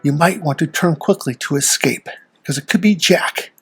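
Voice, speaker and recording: A performer voicing a suave, ominous devil in a folktale audio drama, with formal, old-fashioned, measured diction. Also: mid-range voice, fairly bright tone, faint music behind, natural voice. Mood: gloating, ominous.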